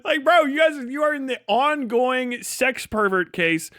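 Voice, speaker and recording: A man's voice: very high voice, expressive, a little slow, fairly bright tone, no room sound. A man doing casual livestream commentary, ranting in a profane, sarcastic and exasperated way. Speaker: livestream host reacting to other streamers.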